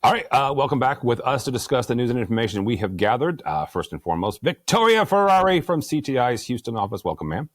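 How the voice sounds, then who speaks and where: A man with a deep voice hosting a news roundtable, speaking into a good studio microphone and dropping easygoing, joking asides. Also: sing-song, fast talker, few pauses, no echo. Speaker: host.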